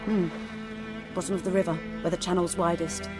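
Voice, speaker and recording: An actress playing a calm, wry female herbalist in a video game, speaking unhurriedly. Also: fairly low pitch, a little fast, close to an everyday voice. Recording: game music behind.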